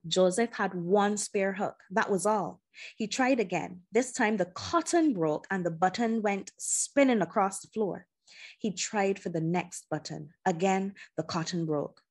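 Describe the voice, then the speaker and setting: Husky-edged woman reading a story aloud. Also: medium pitch, a little mellow. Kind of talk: expressive scripted narration of a children's adventure novel.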